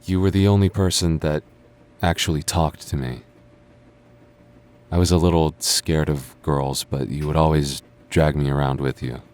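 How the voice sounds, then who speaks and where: A man's voice: very deep voice, steady tone, moderate pace, a little mellow. A man playing a defensive, teasing young childhood friend with a secret crush, stammering and bickering in an intimate boyfriend roleplay. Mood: sheepish, confessing. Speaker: ASMR roleplay voice actor.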